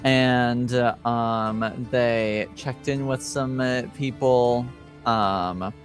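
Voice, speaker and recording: A man's voice: medium pitch, steady tone, extremely slow. A man speaking casually and informally as game master and host, introducing a tabletop game stream. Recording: music behind the voice. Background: music.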